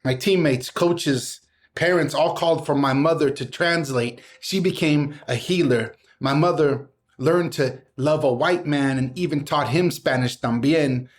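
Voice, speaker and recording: A man's voice: a little high, a little slow. A middle-aged man from Southern California, a poet reciting his work with a rhythmic spoken-word cadence, then talking casually in an interview. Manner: rhythmic spoken-word delivery, mixing in Spanish words. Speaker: poet and guest.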